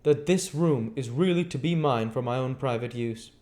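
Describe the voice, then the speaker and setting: A man voicing a brusque, imperious, secretive gentleman investigator in a storybook reading, with formal, emphatic phrasing. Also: mid-range voice, normal speed, pitched above the performer's own voice, close to an everyday voice. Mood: curt, formal. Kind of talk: storybook character voice in an audiobook reading.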